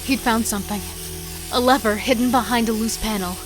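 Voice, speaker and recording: A high, tense female voice from an actress as a wary killer in a horror audio drama. She is devoted but seething, delivering first-person narration and dialogue with strong emotion, over clearly audible music. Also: fairly even intonation, fairly quick, close to an everyday voice. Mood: drained.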